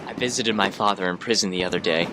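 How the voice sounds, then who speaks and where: A man playing a troubled, solemn, dutiful young ruler in an animated comic dub, speaking gravely. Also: sits low, fast talker, very noisy, natural voice.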